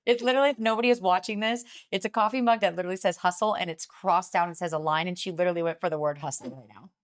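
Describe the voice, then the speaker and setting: A woman podcast guest who talks fast and bubbly, teasing and laughing a lot while chatting. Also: lowish voice, fairly even intonation. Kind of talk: casual chat.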